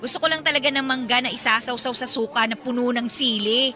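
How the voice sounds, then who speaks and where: A woman's voice: fairly high pitch, fairly even intonation, quick pace, sharp tone, faint music behind. An actress playing a sassy, teasing young woman with a Filipino accent in a radio drama. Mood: mildly exasperated. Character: sassy single young woman, teasing but polite to elders. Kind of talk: Tagalog radio drama acting.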